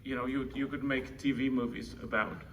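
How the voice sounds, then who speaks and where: A man's voice: medium pitch, little pitch movement, a little fast. A man from the Czech Republic with a Czech accent, giving an informal introductory speech at a conference. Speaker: conference speaker introducing co-founder. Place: indoor conference room. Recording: distant mic, faint noise, room ambience.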